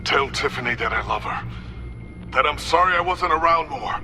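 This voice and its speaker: Desperate middle-aged dad, high-pitched, very tense and forceful, regretful, urgent, game drama, faint noise. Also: male voice, expressive, normal speed. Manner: urgent, strained, emotional. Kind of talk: dramatic video game acting.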